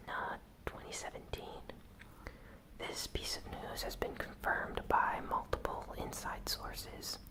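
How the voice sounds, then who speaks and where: A host whispering a gaming news recap in ASMR style, with soft, unhurried, deliberate phrasing. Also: rough recording.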